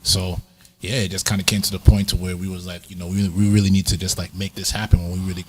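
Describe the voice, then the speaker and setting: A man's voice: sits low, fast, breathy edge. A man in his forties from Tobago, chatting casually in an interview about his sound system. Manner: relaxed, laid-back storytelling with frequent fillers.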